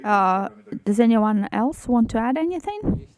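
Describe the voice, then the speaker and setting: A woman's voice: fairly high pitch, expressive, a little slow. A woman, a critic leading a festival panel in English, often restarting her phrases. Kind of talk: panel moderation.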